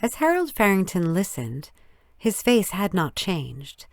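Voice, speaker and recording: A woman reading a story as scripted narration, in a measured, careful storytelling manner. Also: on the low side, sing-song, no echo, clean studio sound. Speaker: audiobook narrator.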